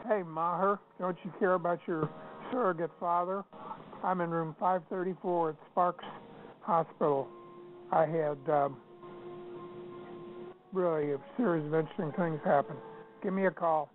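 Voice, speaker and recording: A man's voice: on the high side, steady tone, normal speed, long pauses, faint music behind. An older man leaving casual, sardonic voicemail messages, wisecracking and grumbling over a telephone. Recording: tinny phone-line voicemail recording.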